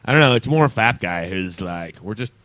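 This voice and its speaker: A man's voice: on the low side, animated, brisk, a bit bright, dry recording. An American man hosting an unscripted comedy radio show, bantering in a jokey, exclamatory and self-mocking way. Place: small community radio studio.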